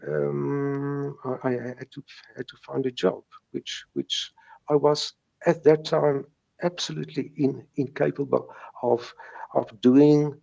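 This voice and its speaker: Halting South African man, slow and creaky. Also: on the high side, darkish tone, lo-fi sound. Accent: South African Afrikaans. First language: Afrikaans. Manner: halting, repeats words and sounds, stuttering. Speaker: guest recounting recovery from stuttering. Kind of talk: personal account in an interview.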